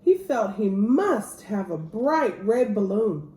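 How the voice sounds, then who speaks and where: A woman reading a children's picture book aloud as an expressive storyteller. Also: medium pitch, takes it slowly. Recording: roomy echo, clear background noise.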